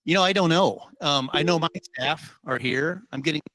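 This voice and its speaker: A man's voice: on the high side, normal speed, pushed and bright, no echo, rough recording. A man from Utah, a state official leading a public meeting, talking informally with many ums and rambling asides.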